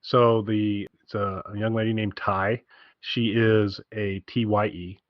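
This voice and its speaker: A man's voice: on the low side, little pitch movement, measured pace, no room sound, lo-fi sound. A man co-hosting a casual podcast, talking in an enthusiastic, rambling way and repeating himself, recorded over an internet call. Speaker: podcast co-host.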